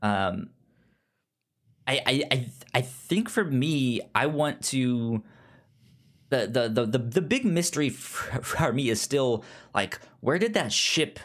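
A man co-hosting a podcast in a casual film discussion, halting and often repeating words and syllables as he forms his thoughts. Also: medium pitch, animated, a bit bright. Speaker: podcast co-host.

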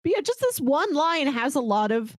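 A woman's voice: high voice, big pitch swings, normal speed, no room sound, clean studio sound. A woman co-hosting a podcast, casually discussing films. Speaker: podcast co-host.

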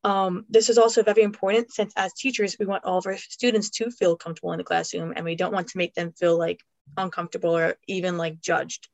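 A woman's voice: fairly low pitch, little pitch movement, quick pace, gravelly, darkish tone, no room sound, lo-fi sound. Chatty young woman, dry close sound. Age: young adult. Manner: chatty, frequent "like" fillers. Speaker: education student, podcast co-host. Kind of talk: casual storytelling.

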